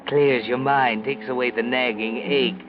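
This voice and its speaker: An actor playing a sinister, all-knowing storyteller in a 1940s radio mystery, narrating in an ominous, deliberate, dramatic way. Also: male voice, medium pitch, steady tone, close to an everyday voice. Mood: hushed, eerie. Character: sinister, omniscient male storyteller who walks by night. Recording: dull 1940s radio recording. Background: loud dramatic music.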